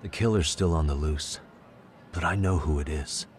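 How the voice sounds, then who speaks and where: Very deep-voiced, steady detective. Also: male voice. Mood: firm, serious. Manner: measured, matter-of-fact, casual. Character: calm, steady male detective, frank and protective. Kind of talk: dramatic game cutscene dub acting.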